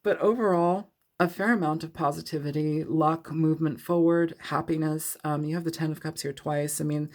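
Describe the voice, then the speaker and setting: A woman with a low, creaky voice talks through a tarot spread in an easygoing, rambling way, with plenty of fillers. She sounds sniffly from a cold and laughs readily between thoughts. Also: a little mellow. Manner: rambling, loose, filler-heavy. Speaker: tarot reader. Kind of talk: casual tarot reading to camera.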